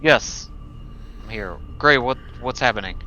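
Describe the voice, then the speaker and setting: A man playing a tabletop roleplaying game, improvising in a hesitant, deliberate way. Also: mid-range voice, lively intonation, pushed and bright, soft background music. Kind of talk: improvised in-character play and table talk. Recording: muffled, faint noise.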